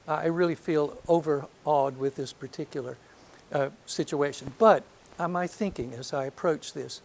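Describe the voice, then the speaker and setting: An older man from North Alabama with a soft voice, giving a reflective lecture to an audience, hesitant and full of ums, with gentle self-deprecating humor. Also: fairly high pitch, normal speed, creaky. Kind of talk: last lecture, reminiscence. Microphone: handheld or podium microphone.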